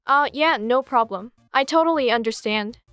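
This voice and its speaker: Chat-story girlfriend: devoted teenage girl read by a synthetic woman's voice, smooth, dry, faint music. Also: on the high side, moderate pace, a bit bright, no echo, natural voice. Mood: hurt. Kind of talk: scripted text-to-speech chat story dialogue.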